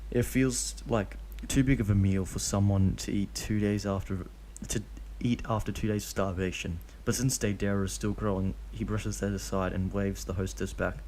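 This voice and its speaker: A man's voice: fairly low pitch, steady tone, moderate pace, hardly any pauses, darkish tone, a little hiss or hum. A man reading fanfiction aloud with frequent slips and restarts, then chatting casually about it. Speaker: fanfiction reader.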